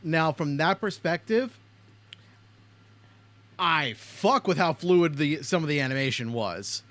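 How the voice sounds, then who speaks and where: A man's voice: fairly high pitch, expressive, takes it slowly, fairly bright tone. A man hosting a casual reaction video, talking bluntly and swearing a lot. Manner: blunt, heavily profane.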